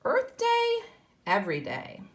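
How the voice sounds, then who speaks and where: A woman's voice: very high voice, huge pitch swings, slow. A woman teaching kindergarten, reading a picture book aloud to young children and explaining as she goes. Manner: instructional, explaining and posing questions to children.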